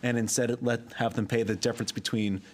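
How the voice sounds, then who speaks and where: A man's voice: medium pitch, steady tone, slight background noise. A man on town staff explaining fees and procedure to a board at a public meeting, with frequent ums and restarts. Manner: halting, with frequent ums and self-corrections. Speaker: town wetlands staff member. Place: town hall meeting room.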